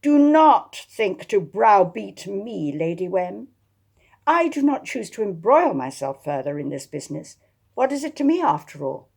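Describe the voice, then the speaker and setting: A woman's voice: medium pitch, big pitch swings, unhurried, gravelly, darkish tone, slightly rough, heavily acted. A woman voicing a proud, exasperated genteel lady sleuth, speaking formally and defiantly in a dramatised historical novel. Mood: indignant.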